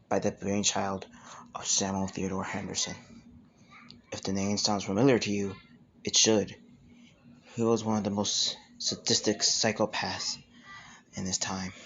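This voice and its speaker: A person performing an amateur horror monologue, telling a dark backstory in a grave, urgent, gravelly way. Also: low-pitched, highs cut off, a little hiss or hum. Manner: grave, urgent, ominous storytelling. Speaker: amateur voice actor.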